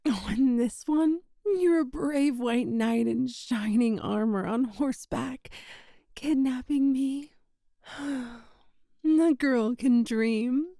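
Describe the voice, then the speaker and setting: Woman acting a high-pitched, lovestruck yandere witch. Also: warm tone, dry recording, studio quality, exaggerated voice. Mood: flirty, flustered. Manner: cutesy, coy, breezily matter-of-fact about sinister things. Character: lovestruck possessive yandere witch, sweetly menacing and clingy. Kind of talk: ASMR roleplay acting addressed to the listener.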